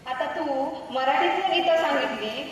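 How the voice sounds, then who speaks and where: A woman playing a humble, warm, unschooled village aunt with a rural Maharashtrian accent in a devotional stage skit. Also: sits high, lively intonation, normal speed. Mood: humble, grateful. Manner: rustic, exclamatory, chatty address. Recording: echoey hall, distant mic, faint noise.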